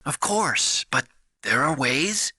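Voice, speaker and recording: An actor playing a nerdy, excitable computer hacker in a video game, earnest and eager as he explains things. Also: a little high, slow, slightly rough, no room sound, studio quality, heavily acted. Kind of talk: dramatic video game voice acting.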